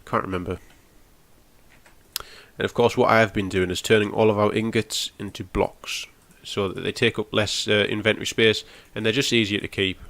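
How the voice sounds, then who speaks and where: A man's voice: on the low side, steady tone, moderate pace, gravelly, fairly bright tone, no echo. A young man with a cold, sounding nasal and snotty as he casually walks through a game with plenty of ums. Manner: rambling, explains as he goes, frequent ums. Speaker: gaming channel host. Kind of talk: casual gameplay walkthrough.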